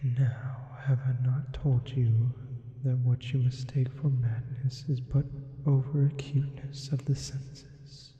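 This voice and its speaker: A man voicing a sinister sleep paralysis demon, reading a Gothic horror story as hushed, deliberate ASMR with whispers and added reverb. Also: mid-range voice, flat delivery. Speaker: ASMR narrator. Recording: muffled, heavy long reverb.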